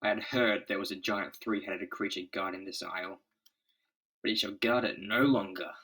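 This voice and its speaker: A man's voice: on the low side, fairly even intonation, moderate pace, bright, forceful tone, rough recording. A man voicing an earnest, commanding superhero leader in a declamatory comic-book drama style. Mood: resolute.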